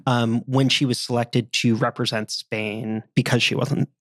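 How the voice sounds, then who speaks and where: Deadpan joking man, dry close sound. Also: medium pitch, unhurried, dry recording. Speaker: podcast co-host. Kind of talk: casual chat.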